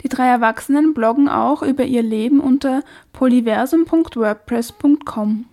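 A woman radio host. Her tone is soft and mellow as she delivers scripted presentation. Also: high-pitched, moderate pace.

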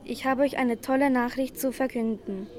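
A woman's voice: fairly high pitch, fairly even intonation, moderate pace, darkish tone, close to an everyday voice. A woman playing a smug, gloating famous author who declaims in repeated phrases in a radio play. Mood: proudly announcing. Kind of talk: school radio play acting. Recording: music bed behind the voice.

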